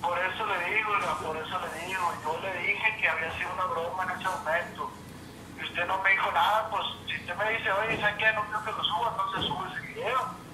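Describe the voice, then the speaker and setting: A man's voice: high-pitched, fairly even intonation, a little fast, roomy sound, noisy background. A man on a phone call, defensively explaining himself. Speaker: prank target on the phone.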